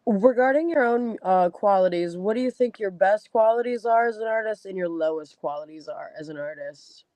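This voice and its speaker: A woman's voice: medium pitch, normal speed, warm tone, no room sound. A woman hosting a live podcast interview, asking questions and encouraging her guest. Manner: encouraging, upbeat, affirming interviewer. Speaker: podcast host.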